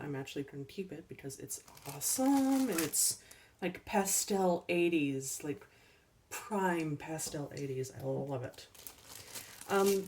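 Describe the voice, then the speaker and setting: A woman casually showing off her thrift store finds, unhurried and chatty with ums and little laughs, her voice a bit worn as she is losing it. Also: low-pitched, a little mellow, far from the mic, a little hiss or hum. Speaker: collecting channel host. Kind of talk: casual thrift haul chat.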